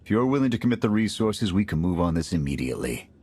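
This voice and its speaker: A seasoned special forces major, his voice deep and husky. He briefs his team in a clipped, businesslike military manner, every line sounding like an order from someone used to leading troops in a video game war. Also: very animated, fast, darkish tone. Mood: briefing. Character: steady male special forces major, seasoned field leader. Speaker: military squad commander. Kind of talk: video game cutscene acting.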